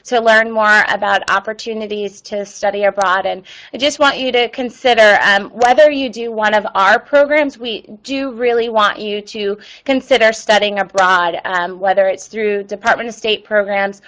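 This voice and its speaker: A woman's voice: medium pitch, fairly even intonation, normal speed, hardly any pauses, a bit bright, low-quality recording. A woman presenting an online webinar and answering viewer questions, with many ums and uhs. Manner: reads viewer questions aloud.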